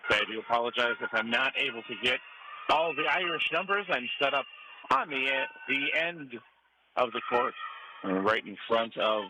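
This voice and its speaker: Man calling live volleyball over gym noise. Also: medium pitch. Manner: clipped running calls with brief asides. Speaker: radio play-by-play sports announcer. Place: courtside at the end of a gym during a match. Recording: clear gym noise behind live radio call. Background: noisy gym ambience.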